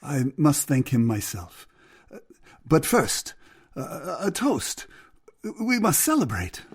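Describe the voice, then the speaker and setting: An actor playing a frail, loving elderly father in an audio drama, proud yet hesitant and tender, with a husky voice. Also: fairly high pitch, huge pitch swings, slow pace, exaggerated voice. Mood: warm evasion. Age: older.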